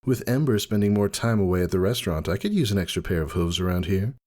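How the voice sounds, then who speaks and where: A man's voice: deep voice, expressive, quick pace, darkish tone, pitched above the performer's own voice. A man voicing a playful, sly, coy female pony wife in a dramatic story reading. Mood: sly teasing.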